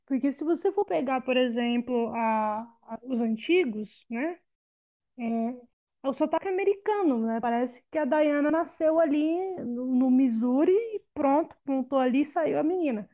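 A woman's voice: high voice, expressive, moderate pace, muffled recording. A woman in a casual film chat, talking through her opinions with many restarts and fillers.

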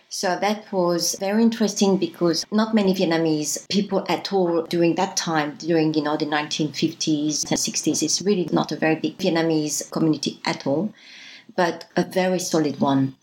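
This is a woman in her fifties with a notably low voice, a native French speaker. She recounts her upbringing as an interview guest, reflective and sincere, often opening her sentences with "so". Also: fairly even intonation, normal speed, a little mellow, rough recording. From France. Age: 50s. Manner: starts many sentences with "so", earnest and reflective. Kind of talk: reflective personal storytelling.

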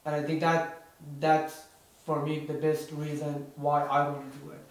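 A man from Iran, co-hosting a casual podcast chat in an earnest, measured way with frequent fillers. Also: fairly high pitch, monotone. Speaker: podcast co-host. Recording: very echoey room, distant mic.

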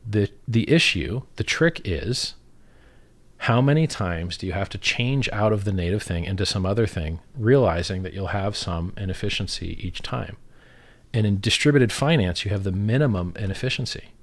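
A man's voice: fairly low pitch, animated, normal speed. A man giving an opinionated, casual explanation, blunt and emphatic, repeating himself and swearing freely.

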